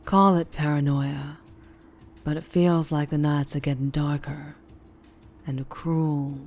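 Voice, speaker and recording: A woman with a Southern US accent playing a flirtatious, troubled, protective vampire in an intimate ASMR roleplay, speaking softly and haltingly. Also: low voice, steady tone, a little hiss or hum. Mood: uneasy, melancholy. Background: music.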